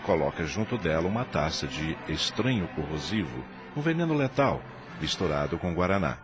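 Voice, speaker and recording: A man narrating a radio drama in an expressive, dramatic way. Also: sits low, normal speed, music in the background.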